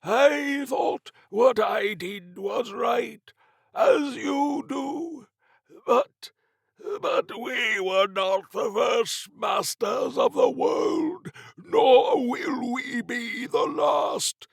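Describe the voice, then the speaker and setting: Ominous sea-cult zealot for horror audiobook: high, loud, strained, very slow preaching. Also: male voice, expressive, gravelly, slightly rough, no room sound, heavily acted. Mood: grim prophetic warning. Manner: slow, drawn-out, preaching pronouncements. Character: slow, ominous male sea-cultist zealot with orb-like eyes.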